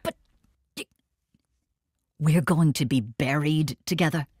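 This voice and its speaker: Hurt old woman in a radio play, really low voice, curt and reproachful, dry sound. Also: slow pace, darkish tone, husky, no echo, heavily acted. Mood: shocked, indignant. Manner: terse, reproachful. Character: Romaine, wounded, bitter elderly woman, lifelong lover. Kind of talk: audio drama acting.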